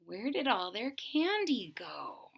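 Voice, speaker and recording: High-pitched, lively woman reading aloud. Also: gravelly, a little hiss or hum. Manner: dramatic, slow, pausing to ask questions. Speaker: storyteller reading aloud to children. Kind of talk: expressive read-aloud of a picture book with questions to young listeners.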